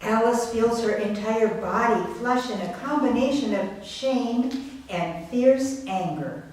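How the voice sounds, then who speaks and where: A woman's voice: on the high side, takes it slowly, a little mellow. A woman narrator reading scripted dramatic narration for a readers theater piece. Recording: very echoey room, distant mic, faint noise.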